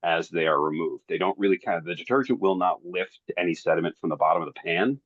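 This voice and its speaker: A man's voice: low voice, fast talker, dry recording. A man giving an informal technical explanation as someone who works in the field, on a conference call. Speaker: professional in the oil science field. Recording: dull, band-limited conference call audio.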